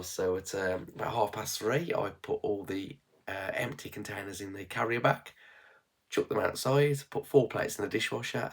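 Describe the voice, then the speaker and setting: A man's voice: lowish voice, gravelly, breathy edge. A man in his thirties with a West Midlands accent, stuffed up and nasal from a cold, chatting frankly and rambling a bit in a casual vlog. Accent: West Midlands English. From England. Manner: rambling, frank, with fillers and restarts. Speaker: frugal living vlogger.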